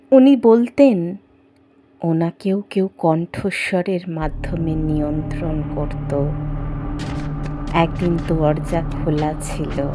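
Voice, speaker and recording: A woman narrating a horror story in the first person, theatrical and suspenseful, with drawn-out pauses. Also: fairly low pitch, dark tone. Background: background music.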